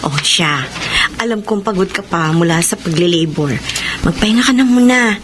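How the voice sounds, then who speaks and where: An actress playing a kind, generous midwife and protective friend in a Filipino radio drama, gentle and reassuring. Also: female voice, on the low side, moderate pace, slight background noise, natural voice.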